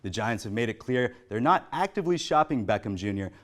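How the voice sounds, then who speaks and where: A man's voice: mid-range voice, lively intonation, fairly quick, a bit bright. A man in his twenties co-hosting a sports talk show, discussing in an agreeable, conversational way. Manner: agreeable, backchannels often.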